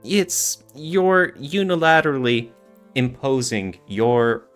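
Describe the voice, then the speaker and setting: A man's voice: medium pitch, lively intonation, slow pace, a bit bright, faint music behind. A man co-hosting a podcast, talking casually in an earnest, reflective way with some hedging. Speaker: podcast co-host.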